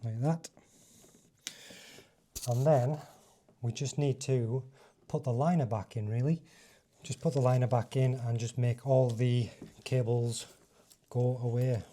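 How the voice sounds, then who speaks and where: A man with a dark, relaxed voice at a middling pitch talks through a hands-on job step by step. He is informal and seems to think aloud as he works, like a vlogger showing viewers a fitting. There is faint noise behind his voice. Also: a little slow, pauses often. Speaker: motovlogger presenting a fitting tutorial. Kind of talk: casual hands-on demonstration, talking through each step.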